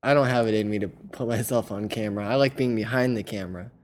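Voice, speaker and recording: A woman hosting a podcast in casual chat among friends, her voice hoarse and raspy from an allergic reaction. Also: a bass voice, quick pace, darkish tone. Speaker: podcast host.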